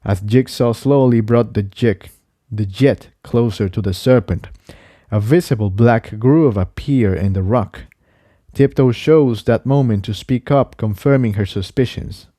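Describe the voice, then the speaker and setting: A man reads an adventure story as narrator. His mid-pitched voice is mellow and soft-edged, recorded dry and close. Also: measured pace, no echo. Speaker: fan fiction reader, narrator.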